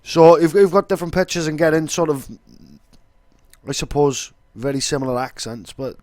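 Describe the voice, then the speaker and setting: A man's voice: on the high side, normal speed, darkish tone, no room sound. A young man with a Welsh accent, casually explaining in a rambling, sweary way with lots of fillers. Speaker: vlogger.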